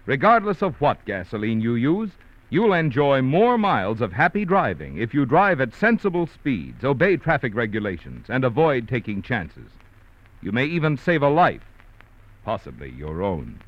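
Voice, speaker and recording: A man's voice: mid-range voice, wildly swooping pitch, normal speed. An American man announcing commercials and credits in a polished, formal 1940s radio style. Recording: dull vintage radio recording with music.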